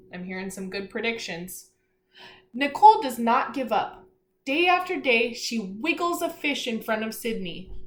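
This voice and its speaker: A woman teacher reading aloud to young children, pausing to explain simply, ask questions and encourage her listeners. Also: fairly high pitch. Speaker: elementary school teacher. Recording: echoey room, faint background noise.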